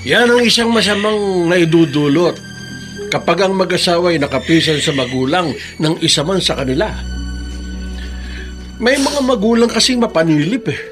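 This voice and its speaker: Concerned, folksy father, slightly high-voiced. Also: animated, normal speed, over music. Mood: sagely advising. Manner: hesitant, folksy, advising with drawn-in interjections. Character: concerned, plain-spoken older father, a tricycle driver. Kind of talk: radio drama acting.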